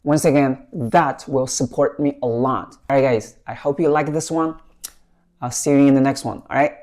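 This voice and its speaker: Mellow-voiced man presenting a gadget. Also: medium pitch, fairly even intonation, moderate pace. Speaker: vlogger reviewing a microphone. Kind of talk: casual product demo to viewers.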